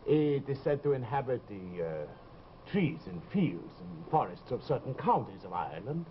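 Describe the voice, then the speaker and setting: A man's voice: mid-range voice, big pitch swings, moderate pace, close to an everyday voice. Formal middle-aged male doctor played by a man, hesitant, lilting delivery, 1950s TV drama, noisy soundtrack. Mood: grave, hesitant. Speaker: actor. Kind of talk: 1950s live television drama acting. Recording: dull, noisy old TV soundtrack.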